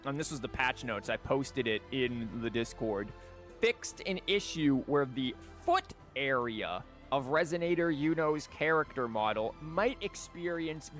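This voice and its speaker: A man's voice: medium pitch, fairly bright tone. Wry man rambling over faint game music. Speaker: streamer. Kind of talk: casual live game commentary.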